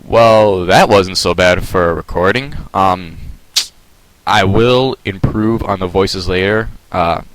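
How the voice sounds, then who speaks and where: A man's voice: deep voice, slow, a bit bright, no room sound, poor audio. A man reading his own fan fiction aloud late at night with a sore throat, casual and hesitant. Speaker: amateur reader. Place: home at night.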